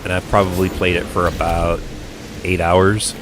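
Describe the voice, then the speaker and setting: A middle-aged man, hoarse and croaky after being sick, rambling through laid-back live gameplay commentary. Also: deep voice, little pitch movement, normal speed, background noise. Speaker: game streamer. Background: game music.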